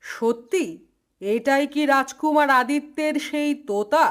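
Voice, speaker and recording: A woman's voice: sits high, measured pace, fairly bright tone. A woman voicing an imperious, scheming queen in a cartoon, with a haughty, commanding manner. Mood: surprised, suspicious.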